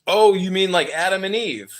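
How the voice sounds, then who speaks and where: A man's voice: high-pitched, normal speed, a bit bright. An American man hosting a podcast, asking provocative, mock-naive questions with sarcasm and swearing. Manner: feigns ignorance, sarcastic, swears. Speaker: podcast host.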